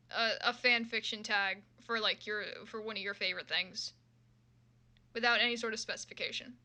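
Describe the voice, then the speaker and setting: A woman with a bright, fairly high voice talks casually on a livestream. She swears freely and exclaims at what she reads, often poking fun at herself. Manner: sweary, exclaiming, self-deprecating. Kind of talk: casual livestream chat, reacting to fan fiction.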